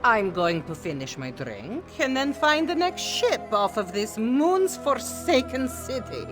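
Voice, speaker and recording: A woman's voice: a little high, big pitch swings, unhurried, music in the background. A woman playing a disgruntled, cynical townsperson in a fantasy video game. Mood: fed up. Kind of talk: video game character acting.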